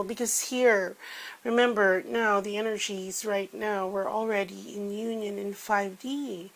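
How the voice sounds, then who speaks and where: A woman's voice: medium pitch, gravelly, poor audio. A woman with a congested voice, giving a chatty weekly tarot reading with many fillers. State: congested from a cold. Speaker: tarot reader.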